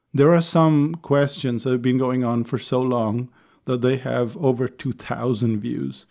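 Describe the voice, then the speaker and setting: A man hosting a casual solo podcast, chatty and persuasive, with his voice hoarse and going. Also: medium pitch, dark tone. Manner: chatty, persuasive, with small laughs. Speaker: podcast host.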